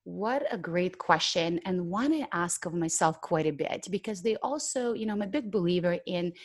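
Candid woman guest, low dry voice. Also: lively intonation, moderate pace, dry recording. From Ukraine. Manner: candid, laughs often, many "um" and "you know" fillers. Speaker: podcast guest, public speaker. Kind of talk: reflective interview conversation.

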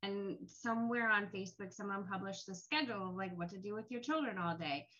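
A woman's voice: medium pitch, brisk, fairly bright tone, highs cut off. A middle-aged woman speaking casually on a panel call, sharing personal experience. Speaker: panel guest, academic.

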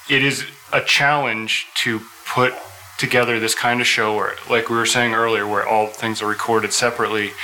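A man's voice: fairly low pitch, bright, forceful tone. A man hosting a casual live panel at a convention, recorded in a noisy room. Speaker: host.

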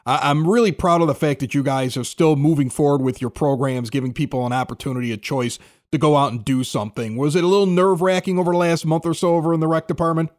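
A man's voice: fairly high pitch, steady tone, quick pace. A man hosting a radio show, interviewing guests in a casual, conversational style.

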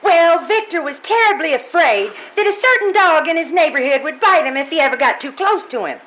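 A woman's voice: sits high, expressive, brisk, fairly bright tone, poor audio. A woman playing a chirpy, motherly, scatterbrained landlady in an old-time radio comedy, fussy and rambling. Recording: faint noise, live stage performance.

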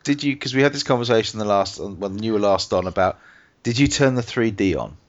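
A man's voice: on the low side, lively intonation, fairly quick. A man co-hosting a gaming podcast, making dry teasing quips at his guest. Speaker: podcast co-host. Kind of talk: casual gaming podcast chat. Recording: lo-fi podcast audio.

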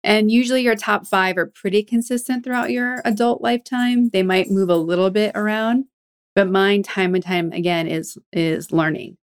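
A woman's voice: mid-range voice, normal speed, smooth. A woman hosting a podcast, talking in a curious, chatty way and asking follow-up questions. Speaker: podcast host. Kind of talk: casual interview chat.

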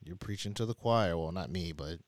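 Man, 30s, podcast co-host, low voice, teasing, dry close sound. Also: a little fast, dry recording. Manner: joking, teasing, swears casually. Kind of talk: casual podcast chat.

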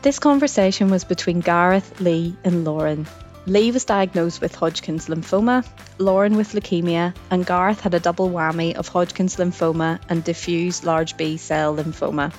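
Woman presenter, measured scripted intro, lowish voice, soft music behind. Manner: clear, measured reading. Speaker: podcast presenter. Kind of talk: scripted narration.

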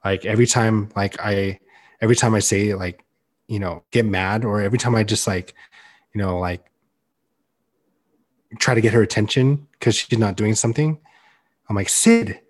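Loud, earnest young man, casual podcast talk. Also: fairly low pitch, normal speed, long pauses. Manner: loud, earnest, heavy use of "like". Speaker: podcast co-host. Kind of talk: casual chat.

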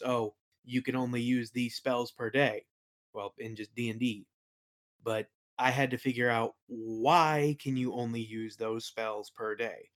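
A man speaking as an interview guest, explaining things in a casual, reflective way. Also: medium pitch. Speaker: interview guest, game world builder.

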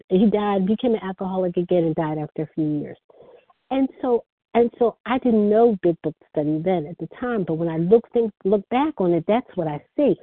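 A soft-spoken woman telling her personal recovery story as the speaker at a phone meeting. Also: medium pitch, husky, no echo. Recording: phone line.

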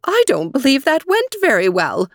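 A woman's voice: sits high, wildly swooping pitch, moderate pace, no room sound, studio quality, voice pushed up, full character voice. Woman voicing a fussy, sing-song fairy aunt. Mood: dismayed. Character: fussy, evasively reassuring fairy aunt guardians. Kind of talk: storybook character voice.

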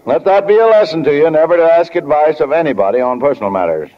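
A man's voice: on the high side, animated, fast, slight background noise, lightly acted. An actor playing a kindly, flustered middle-aged small-town father in 1940s radio drama, hesitant and folksy, often fumbling for words. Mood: folksy, reminiscing. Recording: dull, lo-fi 1940 radio recording.

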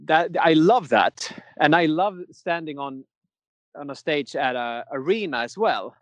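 A man's voice: on the high side, unhurried, no echo. Rambling man, dry sound. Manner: rambling, many restarts, laughs often. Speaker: metal singer, guest. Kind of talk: casual interview chat.

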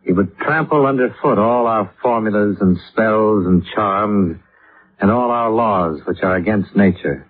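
An American actor playing a cool, terse insurance investigator in a vintage radio detective drama, matter-of-fact in manner. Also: male voice, fairly low pitch, measured pace. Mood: reading aloud, measured. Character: probing, terse male insurance investigator, hard-boiled and cool. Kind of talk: 1950s radio detective acting. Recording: muffled, phone-like vintage radio recording.

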